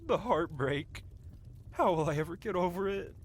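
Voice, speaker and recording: A man's voice: high voice, animated, moderate pace. A man playing a cocky, flirtatious medieval blacksmith in a fantasy ASMR roleplay, with a playful, teasing and theatrical way of talking. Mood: mock hurt.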